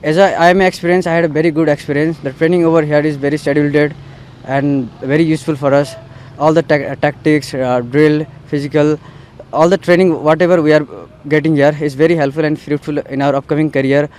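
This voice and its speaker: A man's voice: fairly high pitch, fairly even intonation, a little fast, darkish tone, slight background noise. A man in his twenties with a Nepali accent, a military cadet speaking formally in an interview. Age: 20s. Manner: formal, addresses interviewer as ma'am.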